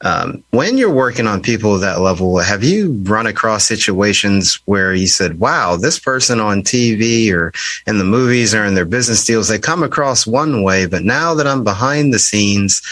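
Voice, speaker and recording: A man hosting a casual interview, asking unhurried questions in an affirming manner. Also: on the low side, very animated, fairly bright tone, dry recording. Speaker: podcast host, interviewer.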